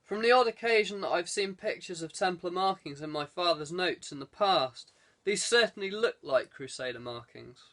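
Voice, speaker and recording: A man's voice: sits high, lively intonation, moderate pace, pushed and bright, exaggerated voice. A man voicing a decisive, practical male adventurer leading a treasure hunt in an audiobook. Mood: uneasy.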